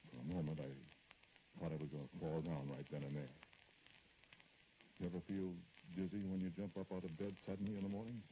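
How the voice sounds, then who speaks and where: An actor playing a troubled, irritable man who narrates his growing dread in a halting, confiding way in an old-time radio horror play. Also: deep voice, steady tone, close to an everyday voice. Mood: brooding, uneasy. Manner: halting, brooding, confiding in the listener. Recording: muffled, noisy old radio recording.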